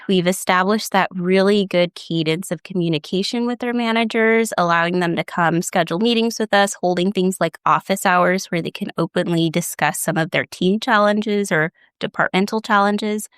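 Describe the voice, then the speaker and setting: A woman in HR giving clear, organized answers in an interview. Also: lowish voice, moderate pace, few pauses, no room sound, studio quality. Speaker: HR guest.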